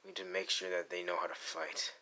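A man playing a brooding, menacing young anime villain in an ASMR audio roleplay, deadpan and irritable with a grudging fondness. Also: low voice. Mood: cool, persuasive. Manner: deadpan, slow, irritable, teasing threats.

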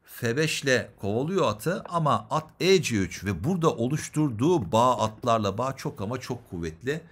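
A man's voice: medium pitch, animated, moderate pace, a bit bright, slightly rough. A man giving chess game commentary as an instructor, explaining move by move and putting rhetorical questions to the viewers.

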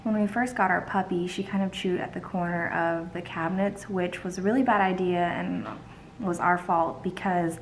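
A woman's voice: lowish voice, fairly even intonation, moderate pace, poor audio. A young woman vlogs from her kitchen, talking close to her phone. She sounds worn out and rambles casually, padding her thoughts with ums and likes. A faint hiss sits under the home recording. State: tired. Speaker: vlogger. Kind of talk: casual vlog chat. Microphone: phone held close.

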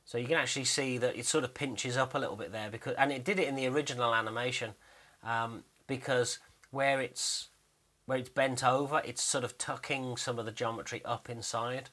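A man with a bright, forward voice at an average pitch talks casually through 3D animation work. He is explanatory and unscripted, pausing to think aloud as he narrates what is on his screen. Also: fairly even intonation.

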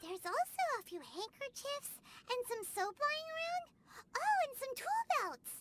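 A tiny floating fairy girl chirps in a very high, bouncy voice that swoops all over. She is excitable and curious, and she thinks out loud in an unhurried way. It is a video game recording with a faint hiss behind her. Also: loud music behind the voice, exaggerated voice. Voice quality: high and bubbly.

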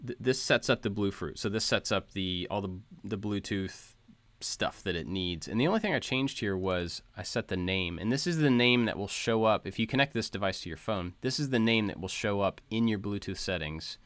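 A man with a fairly low voice talks through a tutorial in a casual, informal manner. He explains things step by step, and the recording is dry and close, with very little room sound. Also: expressive, normal speed, a bit bright, dry recording. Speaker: tutorial presenter. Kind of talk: casual screen-share code walkthrough.

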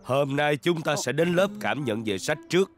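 A man voicing an elderly, proper but soft-hearted chauffeur butler in a children's cartoon dub. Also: on the high side, expressive, normal speed, over music, lightly acted. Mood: formal.

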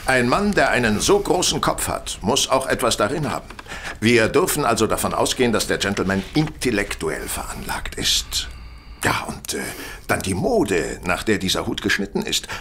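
An actor playing a brilliant, self-assured Victorian detective in an audio drama, reasoning in a confident, didactic manner. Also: male voice, lowish voice, very animated, moderate pace, fairly bright tone, a little hiss or hum. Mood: keen, explaining. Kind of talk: German audio drama acting.

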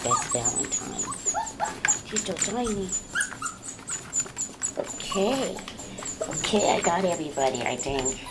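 Woman breeder, low voice with big swoops, slow, coos at pups; handheld camera in a small bathroom, loud puppy noise. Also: very animated. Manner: coos and baby-talks to the puppies. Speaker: dog breeder. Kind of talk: casual home video talking to puppies.

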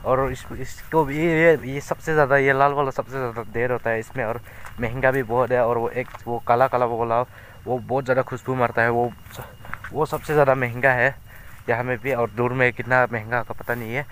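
A young man from Meghalaya with a Northeast Indian accent, explaining things casually in a halting, repetitive way for an outdoor vlog. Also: mid-range voice, flat delivery, a bit bright, a little hiss or hum. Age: young adult. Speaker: vlogger. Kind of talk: casual walk-and-talk vlog. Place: outdoors in open rice fields.

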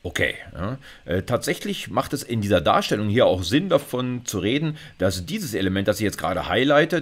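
A middle-aged man giving an informal explanatory lecture, talking conversationally with frequent little check-in tags. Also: on the low side. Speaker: university lecturer.

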